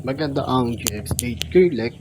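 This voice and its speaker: A man's voice: mid-range voice, lively intonation, normal speed. Man reviewing casually over vehicle noise. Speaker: motorcycle vlogger reviewing gear. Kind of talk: casual unscripted product review. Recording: clear vehicle noise behind voice.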